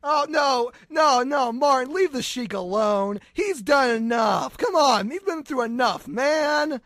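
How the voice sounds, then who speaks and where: A man's voice: very high-pitched, lively intonation, a little slow, fairly bright tone, dry recording, clean studio sound. A man hosting a radio show with casual comedic banter.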